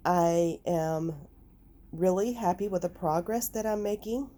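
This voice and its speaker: Slow raspy nasal woman. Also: on the low side, warm tone. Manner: unhurried, thinking aloud. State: recovering from illness, hoarse. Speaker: crafting vlogger. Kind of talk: casual vlog talk and voiceover.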